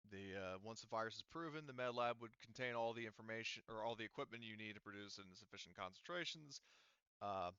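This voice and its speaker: A man improvising tabletop game narration as game master, halting and explanatory, often circling back to clarify. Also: fairly low pitch, sharp tone, clean tone, no room sound, lo-fi sound.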